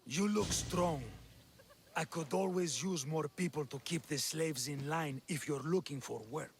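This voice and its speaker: A man's voice: fairly high pitch, a little hiss or hum. A man playing a smug, callous slave master and cult villain in a video game, sneering and condescending. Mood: dismissive. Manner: sneering, condescending, unhurried menace. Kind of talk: video game cutscene acting. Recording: music behind.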